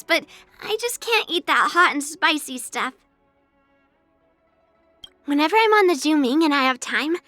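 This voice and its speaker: A woman's voice: sits high, bright, forceful tone, no room sound, full character voice. A woman playing a brash, earnest young swordswoman in a game, blunt but girlish, speaking in a declarative, matter-of-fact way. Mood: casual, musing. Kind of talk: scripted game character voice lines.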